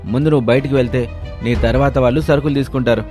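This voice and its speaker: A man's voice: medium pitch, steady tone, rapid-fire, dark tone, faint music behind, natural voice. A man voicing a blunt grocery shopkeeper in a story, firm and dismissive. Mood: refusing.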